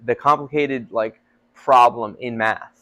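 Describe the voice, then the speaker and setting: A man's voice: medium pitch, steady tone, unhurried, a bit bright, poor audio. A young man co-hosting a faith podcast, talking casually and rambling with lots of fillers. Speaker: podcast co-host. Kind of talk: casual chat.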